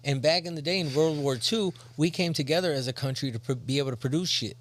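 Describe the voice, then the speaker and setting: A man's voice: on the high side, quick pace, fairly bright tone. A man co-hosting a casual podcast chat, rambling and swearing often, restarting his sentences as he goes. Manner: rambling, profane, restarts a lot. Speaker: podcast co-host.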